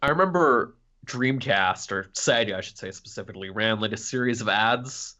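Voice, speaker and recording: A man's voice: fairly low pitch, normal speed, sharp tone, dull audio. A man from North America chatting casually as a podcast panelist, with some swearing.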